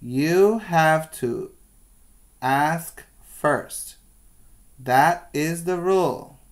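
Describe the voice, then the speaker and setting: Strict female kindergarten teacher as a man reads her, firm, scolding, pitched up, storybook narration. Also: extremely slow.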